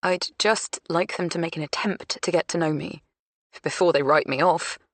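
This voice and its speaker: Woman reading as a quiet, guarded young woman. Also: on the low side, moderate pace, no echo, lightly acted.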